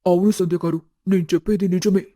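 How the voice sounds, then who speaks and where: A man voicing a worried young husband in an animated moral story, polite and serious. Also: sits high, fast talker, no echo, clean studio sound. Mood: polite, urgent.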